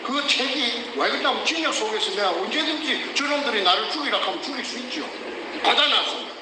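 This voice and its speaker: An older man speaks in a thick Gyeongsang accent, his high voice swinging widely as he argues with heat and fires off rhetorical questions. He sits well back from the mic in an echoey room, with a steady noise underneath. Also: a little fast, distant mic. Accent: Gyeongsang Korean. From South Korea. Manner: heated and emphatic, repeatedly shouting rhetorical questions. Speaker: whistleblower. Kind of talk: emotional testimony.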